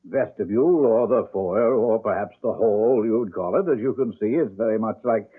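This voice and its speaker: An actor playing a genteel, eccentric English landlord in a radio mystery, courteous, fussy and faintly sinister, with a British accent. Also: male voice, on the low side, moderate pace, husky. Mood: affable, fussy. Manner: fussy, rambling, self-correcting, chuckling politeness. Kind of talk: radio mystery drama acting. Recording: narrow-band old radio broadcast.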